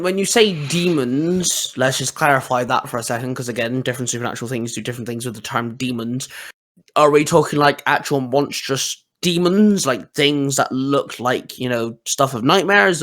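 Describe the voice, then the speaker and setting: A man's voice: mid-range voice, moderate pace, fairly bright tone. Man hosting a casual podcast. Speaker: podcast host. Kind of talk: casual podcast chat, questioning and commenting.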